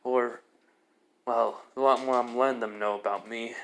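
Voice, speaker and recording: A man's voice: medium pitch, very animated, normal speed, a bit bright. A man voicing a cocky, easygoing young male fighter with dragon fire in a casual, offhand story telling. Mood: pondering.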